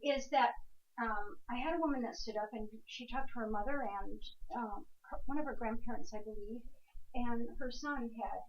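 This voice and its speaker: A woman working as a medium, giving quick, chatty readings to a live studio audience and firing off questions. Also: fairly high pitch, highs cut off. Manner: brisk, questioning, quick to laugh, talks to the crowd. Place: stage before a studio audience.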